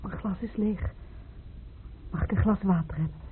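Radio drama: woman acting guarded female patient, mid-pitched, emotionally fragile, thin slightly noisy recording. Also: moderate pace, phone-quality sound, close to an everyday voice. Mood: weary, wistful. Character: troubled woman patient, defensive yet polite.

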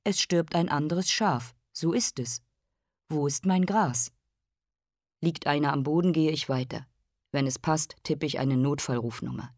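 An actress playing a self-accusing, brooding consumer in a literary radio drama monologue, in clipped, declarative phrases and lists. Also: female voice, sits low, a little slow, dry recording, clean studio sound. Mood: brooding, detached.

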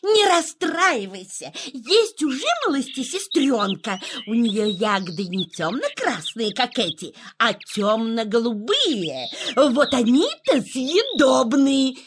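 A woman's voice: on the high side, big pitch swings, takes it slowly, a little hiss or hum. A woman voicing a caring, knowing forest creature in a children's audio play, with a motherly, instructive manner. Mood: consoling.

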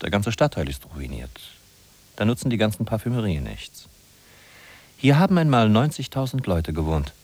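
Husky, sardonic male narrator, swooping delivery. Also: sits low, a little mellow. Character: sardonic male narrator of a bleak big-city inventory. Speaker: narrator in a radio play. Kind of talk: 1950s German radio drama narration. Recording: husky-sounding 1950s radio recording from cassette.

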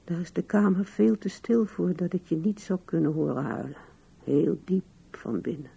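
An older woman acting in a radio drama as a musing, wistful old widow with a gravelly, husky voice. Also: lowish voice, moderate pace, dark tone, full character voice. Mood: tender, sorrowful.

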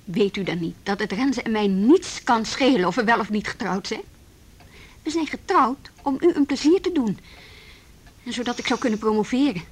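A woman's voice: on the high side, animated, normal speed, breathy edge. An actress playing a proud, defiant young policewoman in a Dutch radio play, indignant and pointed yet formally polite.

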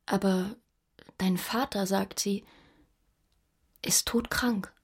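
A man voicing the narrator's affectionate young girlfriend in an audiobook reading, speaking in a soft, hushed, tender way. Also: high voice, steady tone, takes it slowly, voice pushed up, heavily acted. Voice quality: soft and breathy.

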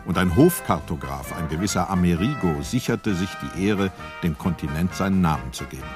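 Deep-voiced man narrating over music. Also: animated. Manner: measured storytelling with dry irony. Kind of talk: scripted radio play narration.

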